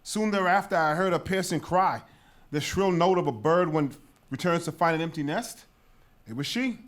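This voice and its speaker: A high-voiced guard played by a man in a Greek tragedy reading. He reports to his king urgently and emphatically, as a nervous underling. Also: normal speed, lightly acted. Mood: urgent recounting. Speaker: actor.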